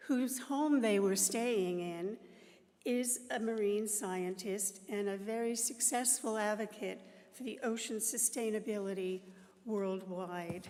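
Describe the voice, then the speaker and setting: A middle-aged woman leading a church service, telling a story in a slow, earnest way into a microphone. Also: mid-range voice, darkish tone. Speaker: worship leader. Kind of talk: reflective talk at a service. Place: church sanctuary. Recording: reverberant hall.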